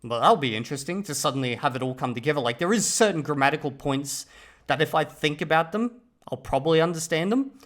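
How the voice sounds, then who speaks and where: Male vlogger, slightly high voice; animated, exasperated commentary, translating clips line by line. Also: quick pace. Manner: animated, exasperated ranting with swearing. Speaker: language-learning vlogger. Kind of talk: casual commentary reacting to clips.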